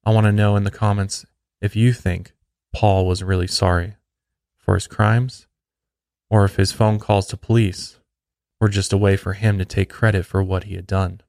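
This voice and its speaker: A man with a fairly low voice narrates true crime as a podcast host. The sound is close and dry, with very little room around him. He reads from a script, telling the story step by step. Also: flat delivery, moderate pace, a little mellow, no echo.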